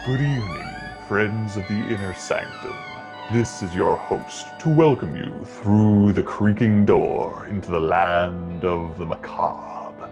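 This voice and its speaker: A man's voice: on the low side, gravelly, full character voice. Guy acting as spooky old radio horror host, real swoopy delivery, darkly jokey, music behind him. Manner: theatrical, sinister, drawn-out delivery. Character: macabre, darkly joking male horror-show host. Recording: music under the voice.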